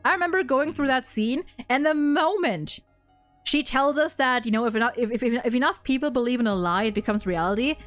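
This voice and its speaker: A woman in a casual, excited fan discussion, effusive and slangy, rambling with lots of repeated "likes". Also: high voice, a little fast, soft background music. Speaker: video host discussing a game.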